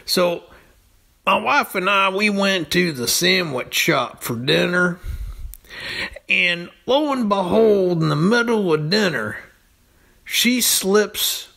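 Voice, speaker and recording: A man's voice: sits high, animated, slow, creaky, pauses often, bright, forceful tone. A man chatting casually and comically as a podcast storyteller, laid-back and hesitant. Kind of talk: casual comedic chat.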